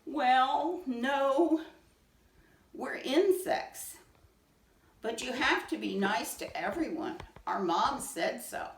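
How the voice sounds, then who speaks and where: Woman doing a brisk, indignant little ant. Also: high voice, lively intonation, roomy sound, far from the mic, slight background noise, pitched above the performer's own voice, heavily acted, poor audio. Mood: instructive. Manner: brisk, scolding. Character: small indignant male ant, bossy but fair little brother.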